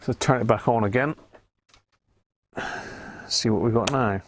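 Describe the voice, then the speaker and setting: A British man giving casual, unhurried live commentary as he works at a repair bench, half muttering to himself. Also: mid-range voice, slight background noise. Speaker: host of a live radio repair stream. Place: indoor repair workbench.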